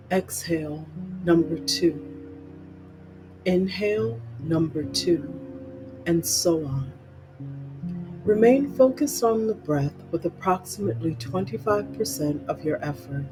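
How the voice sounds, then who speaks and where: A meditation guide reading a scripted guided meditation in measured, instructional, spaced-out phrases. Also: mid-range voice, rough recording. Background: plucked guitar music.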